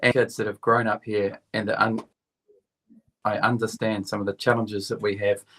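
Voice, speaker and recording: Flat-toned New man, plain-spoken. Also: fairly low pitch, moderate pace, rough recording. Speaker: council candidate. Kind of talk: campaign closing statement.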